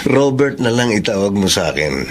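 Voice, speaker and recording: A polite, attentive manager, friendly and gently insistent. His mid-pitched voice moves in a lively way, with faint noise in this radio drama recording. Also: normal speed. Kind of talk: radio drama acting in Tagalog.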